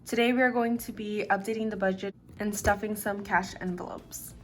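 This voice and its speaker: A young woman talks casually to her viewers in a relaxed, easygoing way. Her voice is a little raspy from lack of sleep, sitting at an ordinary middle pitch, and she drops in "like" often as she goes. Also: fairly quick, soft background music. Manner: relaxed, chatty, uses "like" and "literally" often. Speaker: budgeting vlogger. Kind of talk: casual chat to camera.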